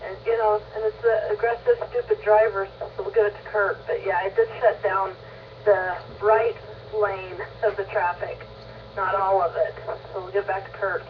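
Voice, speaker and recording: A woman with a mid-pitched voice rambles chattily over amateur radio. Her transmission is thin and phone-like, sounding distant, with some scratchy noise. The talk is casual and unscripted. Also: little pitch movement, normal speed. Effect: two-way radio.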